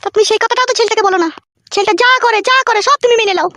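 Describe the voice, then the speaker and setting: A woman's voice: very high voice, racing, fairly bright tone, dry recording, studio quality. A woman voicing a scheming, combative village mother-in-law in a comic cartoon. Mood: nagging. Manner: combative, foul-mouthed nagging.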